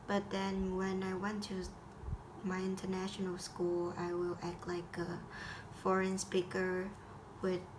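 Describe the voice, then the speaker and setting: Flat-voiced Vietnamese teen girl. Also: mid-range voice, noisy background. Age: teens. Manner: halting, with frequent restarts and grammar slips. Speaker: high school student. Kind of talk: school assignment talk.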